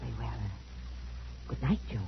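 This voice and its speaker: An actress playing a grave woman who speaks in a breathy, ominous hush in an old radio horror play. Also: normal speed, slight background noise, natural voice. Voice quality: husky, breathy. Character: grave, ominous woman giving dire warnings. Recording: dull old radio recording.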